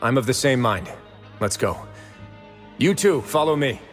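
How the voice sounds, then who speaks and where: A man playing a stern, shrewd fortress warden in a video game, measured and authoritative, with music behind him. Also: mid-range voice, expressive, lightly acted. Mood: commanding. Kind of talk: dramatic game dialogue.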